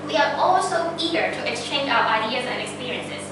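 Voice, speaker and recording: A woman's voice: high voice, animated, brisk. A young woman giving an earnest, carefully structured prepared speech to a club. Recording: echoey room, distant mic, noisy background.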